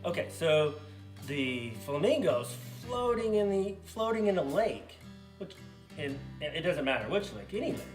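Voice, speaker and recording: A man speaking casually and a little haltingly as a sidekick on a children's puppet show, making up stories. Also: on the high side, very animated. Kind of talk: casual improvised storytelling for kids. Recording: roomy echo, faint music behind.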